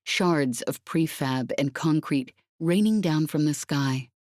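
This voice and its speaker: A woman's voice: deep voice, slow, darkish tone, dry recording, clean studio sound. A woman reading an audiobook, narrating fiction in a dramatic scripted style.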